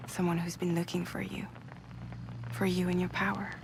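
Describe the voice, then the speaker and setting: An actress playing a calm, reassuring recruiter in a cinematic video game, speaking gently and deliberately with great earnestness. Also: female voice, lowish voice, creaky, noisy background. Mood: calm, inviting. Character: calm, reassuring woman recruiting an outcast ally. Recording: loud music and rain underneath.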